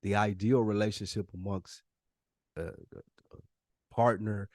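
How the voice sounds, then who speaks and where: A man's voice: lowish voice, a little slow, a little mellow, no room sound, studio quality. An American man co-hosting a podcast in casual, slangy, emphatic talk, often acting out imagined dialogue. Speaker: podcast co-host.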